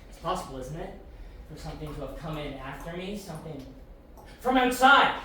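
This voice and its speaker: A man's voice: a little high, big pitch swings, a little fast. Super echoey distant guy playing a nervous otherworldly agent, hesitant, dodgy, audio drama. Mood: alarmed. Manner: hesitant, stammering, evasive. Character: evasive, nervous otherworldly agent explaining strange rituals. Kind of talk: science fiction audio drama acting. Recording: very echoey room, distant mic, faint noise.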